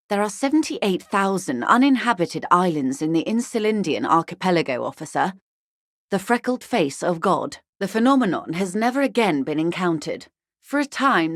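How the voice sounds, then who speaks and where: A woman playing a composed, shrewd corporate negotiator in a video game, speaking in a measured, refined and dryly ironic way. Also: fairly low pitch, dry recording, studio quality. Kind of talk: video game character dialogue.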